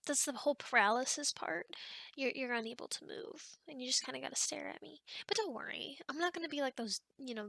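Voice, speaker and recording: A woman's voice: high-pitched, creaky, pushed and bright, dry recording, full character voice. A woman playing an awkward, chatty young sleep paralysis demon in an ASMR roleplay, rambling hesitantly and often dropping toward a whisper. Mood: friendly, casual.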